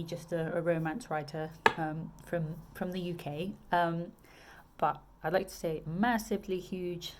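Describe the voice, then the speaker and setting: Low-voiced southern English woman, chatty. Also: slight background noise. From England. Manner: chatty, many ums and restarts. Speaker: romance author. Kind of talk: casual video chat about her books.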